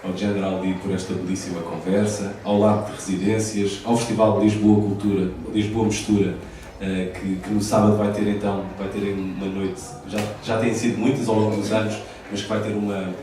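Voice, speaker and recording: A male radio host, calmly and courteously wrapping up a live public talk. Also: low voice, normal speed. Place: café hall, live event. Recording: roomy echo, distant mic, background noise.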